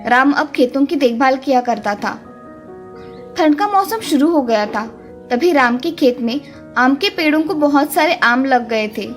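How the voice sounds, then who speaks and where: A woman's voice: high-pitched, expressive, measured pace. A woman reading a scripted children's story as its narrator. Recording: music under the voice.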